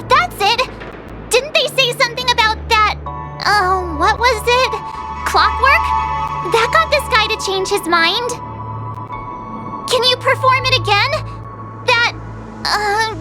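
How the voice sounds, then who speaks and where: A woman voicing a small, excitable and indignant mascot-like companion in an anime game, full of exclamations and questions. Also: extremely high pitch, takes it slowly, pauses often, full character voice. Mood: excited. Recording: loud game music behind. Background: loud game music.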